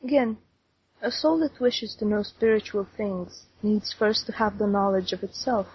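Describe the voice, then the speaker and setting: Brief: solemn, possessive male deity, slow decrees, very breathy, dull lo-fi sound, read by a woman. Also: mid-range voice. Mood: stern. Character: commanding male god, calm and possessive. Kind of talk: audiobook character reading. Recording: dull lo-fi recording.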